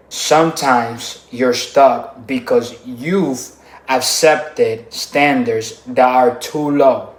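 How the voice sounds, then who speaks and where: A man from the Dominican Republic giving a scripted motivational speech in short, punchy, emphatic sentences with deliberate pauses. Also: mid-range voice, echoey room. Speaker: motivational speaker.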